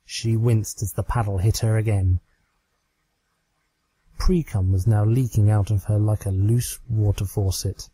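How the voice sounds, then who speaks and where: Slow, mellow male story narrator. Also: lowish voice, fairly even intonation, slightly rough, rough recording. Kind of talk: scripted fan fiction narration.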